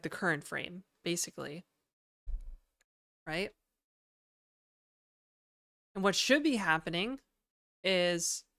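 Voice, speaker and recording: A hoarse woman talks through her code on a live stream, casual and cursing freely, with plenty of "like" between thoughts. Her voice sits on the low side for a woman. The sound is dry and close, with no room echo. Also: fairly bright tone. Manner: casual, swearing, thinking aloud with many fillers. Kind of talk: live coding stream commentary. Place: home apartment.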